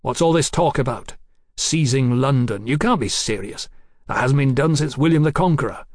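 A man voicing a rough, sceptical looter in an audiobook, sly and mock-deferential, with blunt questions. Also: mid-range voice, moderate pace, dry recording, studio quality, lightly acted. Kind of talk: audiobook character acting.

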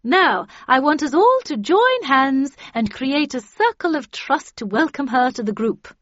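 An imperious older lady, acted out by a woman reader, speaking in a raised, commanding voice. She is brisk, impatient and formally polite, a storybook grande dame on a dry recording. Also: sits high, very animated, a bit bright, no echo, highs cut off, voice pushed up, full character voice. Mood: forced politeness.

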